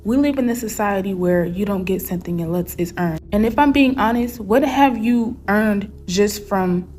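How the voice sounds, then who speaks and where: A woman's voice: mid-range voice, normal speed, darkish tone. A woman giving a casual opinion in a blunt, challenging way.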